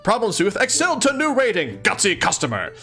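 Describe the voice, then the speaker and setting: A man's voice: high voice, a little fast, faint music behind. A man hosting a let's-read, reading aloud expressively with casual, giggly commentary. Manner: giggly, expressive, dramatic reading mixed with chatty asides. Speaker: host of a live let's-read of a webcomic.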